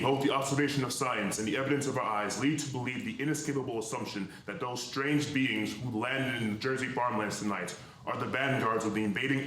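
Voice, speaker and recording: A man's voice: mid-range voice, a bit bright, close to an everyday voice. A man acting as a solemn, formal radio news announcer in a 1930s-style drama. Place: live theatre stage. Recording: very echoey, distant mic, faint noise.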